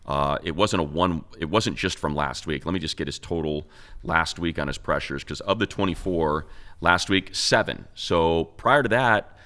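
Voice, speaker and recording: A man's voice: low voice, sharp tone. A man co-hosting a sports show, breaking down football in a casual, conversational way with repeated words for emphasis. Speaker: sports show co-host and analyst. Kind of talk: casual sports talk analysis.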